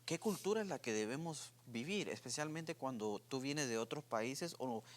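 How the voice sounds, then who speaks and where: A man from Guatemala hosting a youth faith podcast, talking through ideas with many pauses and restarts. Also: mid-range voice, animated. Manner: hesitant, many fillers and restarts. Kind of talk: casual faith discussion.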